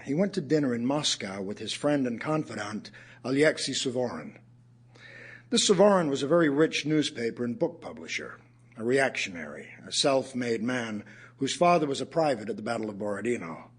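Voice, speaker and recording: Husky male writer reciting. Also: medium pitch, big pitch swings, normal speed. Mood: measured storytelling. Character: weary, wry male writer telling his own story. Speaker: actor in an audio theatre play. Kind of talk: dramatic recital of poems and prose.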